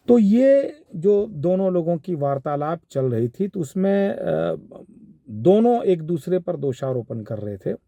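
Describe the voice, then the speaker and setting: A man with a Bihari accent speaks in a very dark, soft-edged voice, recorded close and dry. He explains things informally as a commentator, in a measured and halting manner. His pitch sits in the middle range for a man. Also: vocal fry, no room sound. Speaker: commentator giving his analysis of a viral call.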